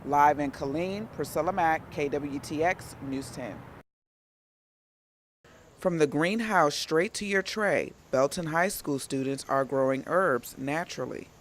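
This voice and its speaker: A woman's voice: low voice, measured pace, slight background noise. A woman working as a local television reporter, reading scripted news in a broadcast reporting style.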